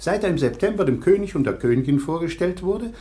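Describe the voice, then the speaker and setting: A man's voice: fairly high pitch, animated, fast, warm tone, breathy edge. A man playing an earnest, dutiful teacher and guardian in a radio play, worried and exasperated. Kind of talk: 1960s German radio play acting.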